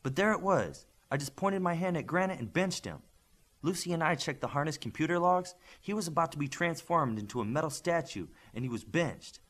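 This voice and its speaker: A man plays an eager young male superhero in an audiobook. The voice is lifted and lively, telling things in an animated, friendly and slightly wry way. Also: a little high, a little fast, voice pushed up. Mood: excited. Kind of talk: audiobook character dialogue.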